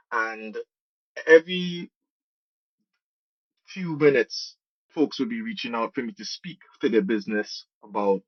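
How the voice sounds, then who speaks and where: Podcast guest: Trinidadian man, mid-pitched voice, conversational answers, dry but slightly dull recording. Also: no echo. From Trinidad and Tobago. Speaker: podcast guest, program manager and musician.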